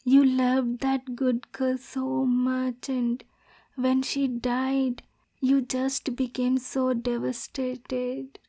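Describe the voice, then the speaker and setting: Woman acting as yandere demon girl, high voice, slow pace, lovesick, possessive, volatile, ASMR roleplay. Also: dark tone, exaggerated voice. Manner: breathy, clingy, swinging between tender and domineering. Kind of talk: ASMR roleplay addressed to the listener.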